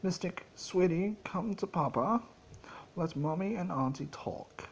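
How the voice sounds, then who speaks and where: A fond, playful father creature, acted by a man coaxes a child in a storybook tale. The voice is pitched up, with faint noise behind it. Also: unhurried, gravelly. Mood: coaxing.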